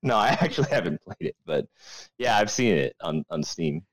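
A man's voice: fairly low pitch, sing-song, moderate pace, no room sound. A young man co-hosting a podcast in casual, joking banter, teasing and sweary with crude humour. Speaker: podcast co-host.

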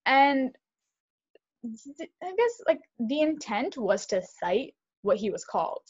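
A young woman co-hosting a podcast, talking fast and animated in casual chat, with lots of "like". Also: high voice, slightly rough, dull audio. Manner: fast, animated, heavy use of "like". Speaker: podcast co-host.

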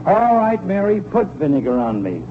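Casting: fussy, exasperated middle-aged dad, high swooping voice, whiny and flustered, vintage radio comedy. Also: male voice, sing-song, moderate pace. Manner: whiny, flustered, theatrical delivery. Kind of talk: 1940s radio sitcom acting. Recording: tinny, very noisy 1940s radio recording.

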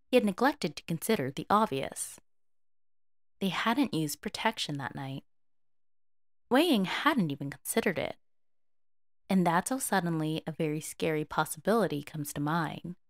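A woman reading fiction aloud as a podfic narrator in an expressive, scripted storytelling style. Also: lowish voice, no echo, studio quality.

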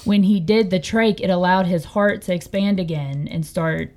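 A woman's voice: lowish voice, normal speed, a little mellow. A woman co-host retelling a news story casually and hesitantly, with many fillers. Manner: hesitant, lots of "like". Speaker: radio co-host.

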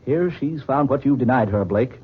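A man's voice: mid-range voice, expressive, normal speed, slightly rough. A man acting in a 1940s radio drama as a suave, cold-blooded adulterer, with an oily, mocking manner. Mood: scornful. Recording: muffled, noisy 1940s radio recording.

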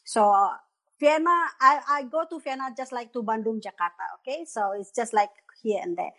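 Indonesian-accented woman guest, somewhat high, rambling, laughs a lot. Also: normal speed, fairly bright tone. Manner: laughs often, rambling broken phrasing. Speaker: guest workshop teacher. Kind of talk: casual live-stream chat.

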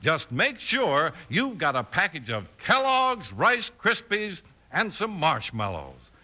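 A man's voice: a little high, wildly swooping pitch, takes it slowly, sharp tone. A man announcing a folksy old-time cowboy radio commercial with hearty, emphatic salesmanship. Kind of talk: folksy 1950s cowboy radio advertising pitch.

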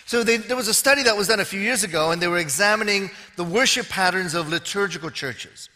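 A man in his fifties delivers a sermon from a stage, his voice high and bright. He teases himself and the audience in a wry, easy way before settling into teaching. Long reverb from the large hall trails after his words. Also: quick pace. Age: 50s. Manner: wry, joking asides to the audience. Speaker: preacher. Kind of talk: sermon with self-deprecating humor.

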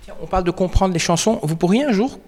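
A male podcast host asks a guest friendly, playful questions and closes with a sign-off to listeners. His voice sits high for a man. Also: animated, fast talker, darkish tone. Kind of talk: interview questions and podcast outro.

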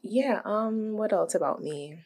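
A woman's voice: medium pitch, animated, unhurried, a little mellow, slightly rough. A young woman from Guyana chatting casually on a podcast, laughing easily as she talks. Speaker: podcast co-host.

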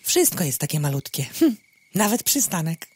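A woman's voice: lowish voice, sing-song, moderate pace, a little mellow, husky. A woman playing a carefree, starry-eyed moth in an audio drama, airy and naive. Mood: dreamy, serene.

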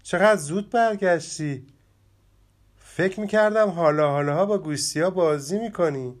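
A man voicing a calm, caring mother rabbit in a children's storybook, speaking in a soothing, gentle way. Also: high voice, voice pushed up, lightly acted.

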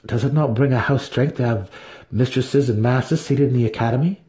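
A man playing a young male dark elf noble who speaks earnestly and tentatively, in a fantasy storybook reading. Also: medium pitch, brisk, voice pushed up, natural voice. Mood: questioning.

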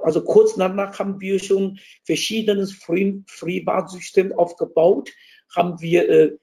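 Man, gravelly mellow voice. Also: high voice. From Taiwan. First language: Chinese. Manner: hesitant, restarts phrases, searches for words. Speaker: interview guest. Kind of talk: explanatory conversation.

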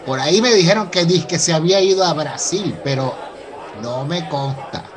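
A man's voice: on the high side, moderate pace, lightly acted. A man playing a chatty, gossipy character with a Venezuelan accent in a radio soap opera. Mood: gossipy, amused. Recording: busy crowd background.

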